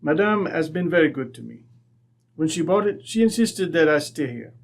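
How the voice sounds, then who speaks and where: A man playing a proud, courteous French viscount with a French accent and halting, formal English in a radio drama. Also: a little high, animated, gravelly. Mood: wistful, polite. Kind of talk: old-time radio drama acting.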